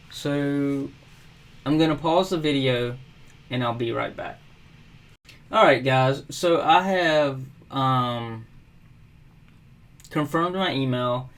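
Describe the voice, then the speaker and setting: A middle-aged man from South Carolina walking through a software setup at his desk, relaxed and unhurried, with frequent ums and uhs. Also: a little high. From South Carolina, USA. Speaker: WordPress tutorial host. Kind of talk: casual screen-recorded software tutorial. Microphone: webcam overlay at desk.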